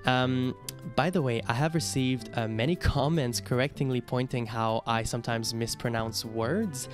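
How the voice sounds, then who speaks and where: A man's voice: medium pitch, normal speed, over music. A man from Quebec whose first language is French, speaking English with a Québécois French accent as a YouTube host, talking casually and hesitantly with many fillers. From Quebec, Canada. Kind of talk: casual ranking commentary.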